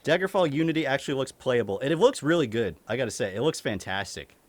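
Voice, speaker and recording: A man's voice: medium pitch, lively intonation, fast talker, dry recording. Young man, light Maine accent, casual streamer Q&A, frequent chuckles, casual swearing, dry close sound. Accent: light Maine (New England). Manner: chuckles often, swears casually, restarts sentences. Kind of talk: casual Q&A reading chat.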